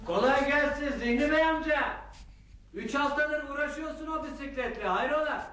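An actress playing an amused, teasing neighbour who calls out in a radio theatre play. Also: female voice, high voice, normal speed, a bit bright. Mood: teasing curiosity. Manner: teasing, calling out, laughing. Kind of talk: Turkish radio theatre acting. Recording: distant, very echoey, music behind.

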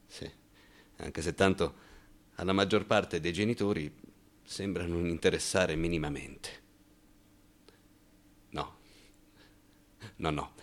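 Deep-voiced anxious, ironic man. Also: full character voice. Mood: wry, reflective. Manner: halting, rhetorical asides, swings from muttering to outbursts. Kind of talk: theatrical radio monologue.

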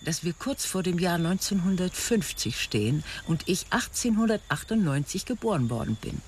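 An actor playing a wistful, wry, world-weary middle-aged confidant in German radio drama dialogue. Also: male voice, high voice, very animated, moderate pace. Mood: wistful, teasing. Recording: faint background noise.

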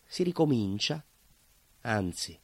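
An actor playing a bitter, vengeful baker in an intimate theatrical monologue, brooding and dramatic. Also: male voice, fairly low pitch, very animated, a little mellow, dry recording, exaggerated voice. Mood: indignant, bitter. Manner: brooding, dramatic pauses and repetitions. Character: bitter southern Italian baker confessing to vengeful murder.

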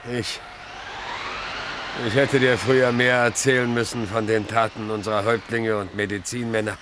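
An actor in a German radio play, playing a proud, weary older Native chief and father with a solemn, measured, declamatory delivery. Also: on the low side, level tone, close to an everyday voice. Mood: weary, grave. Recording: clearly noisy old radio recording.

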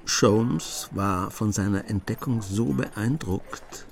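A man narrating a crime comedy radio play as a composed storyteller with dry, understated wit. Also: fairly low pitch.

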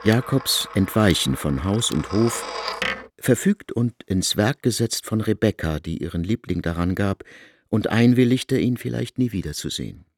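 A man's voice: fairly low pitch, expressive, moderate pace, breathy edge, no echo. A man reading scripted literary narration as the narrator of a radio drama.

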